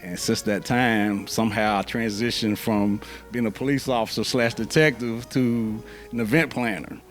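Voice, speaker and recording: A middle-aged man from Louisville, Kentucky, speaking in a measured, conversational way as an interview guest. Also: on the low side, fairly even intonation, soft background music. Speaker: interview guest, event planner. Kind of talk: casual interview.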